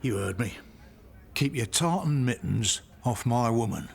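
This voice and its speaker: An actor playing a suspicious, jealous middle-aged husband, terse and serious, in a radio soap. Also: male voice, medium pitch, expressive, unhurried, creaky, slightly rough, full character voice. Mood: confrontational.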